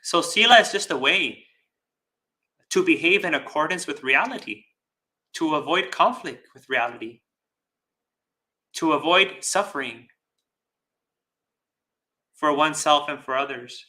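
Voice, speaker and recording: A man giving a dharma talk as a Buddhist teacher, speaking deliberately with pauses, repeated phrases and rhetorical check-ins. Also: on the high side, animated, sharp tone. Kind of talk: dharma talk with live chat questions.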